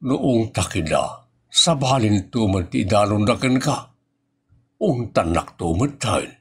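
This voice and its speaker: An actor with an Ilocano Filipino accent playing a gruff, scolding boss and henpecked husband in a comedy audio drama. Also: male voice, lowish voice, expressive, a little slow, heavily acted. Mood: sheepish, grumbling.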